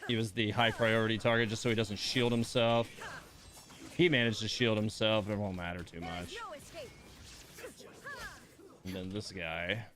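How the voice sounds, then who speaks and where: A man's voice: lowish voice, steady tone, moderate pace. A man giving casual live commentary as he plays a game, muttering and grumbling his reactions. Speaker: game streamer.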